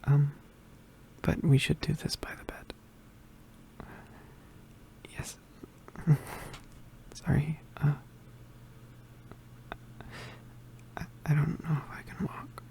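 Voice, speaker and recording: A man plays a wounded young witch in an intimate ASMR roleplay. He speaks in a hesitant whisper, weak and pained, with a weary, self-sacrificing air. The delivery is slow and stays very even in pitch. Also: vocal fry, low-quality recording. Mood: pained, reassuring. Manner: halting, hesitant, murmured, understated.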